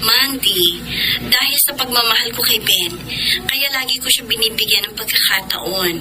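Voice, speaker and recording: A young working daughter speaks softly and apologetically, voiced by a woman. Her voice is high. She also narrates her own story in the radio drama. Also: normal speed, over music, lightly acted, low-quality recording. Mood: earnest. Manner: soft, apologetic, earnest.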